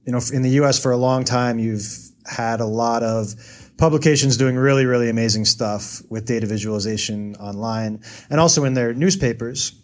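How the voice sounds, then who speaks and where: American man, interview guest, mid-pitched, loose and thoughtful, plenty of ums. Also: fairly quick. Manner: thoughtful, hedging with many fillers. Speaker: guest, data journalist. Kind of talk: casual interview answers.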